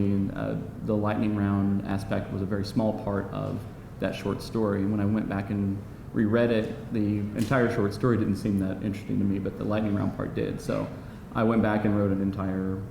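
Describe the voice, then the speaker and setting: A man speaking casually as a screenwriter at a live Q&A, halting, joking and self-deprecating. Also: creaky. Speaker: screenwriter answering audience questions. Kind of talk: casual, unscripted Q&A talk. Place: live event room with audience. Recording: echoey live room, noisy rumble.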